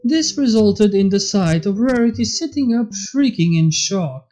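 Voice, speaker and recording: A man's voice: high voice, animated, a little slow, mellow. A man reading fan fiction aloud as scripted story narration.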